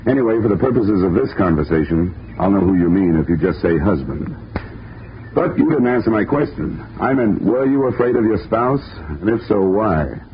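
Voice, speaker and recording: An actor playing a hard-boiled insurance investigator, dry and wisecracking, in 1950s radio drama style. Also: male voice, lowish voice, animated, moderate pace, a little hiss or hum, close to an everyday voice. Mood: brisk questioning. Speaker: investigator narrating his case. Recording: muffled old radio recording with music.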